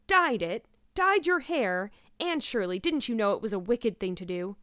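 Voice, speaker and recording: A woman voicing a stern, exasperated older guardian in a storybook, with a brisk, sarcastic, scolding manner. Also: medium pitch, animated, no echo, studio quality, natural voice.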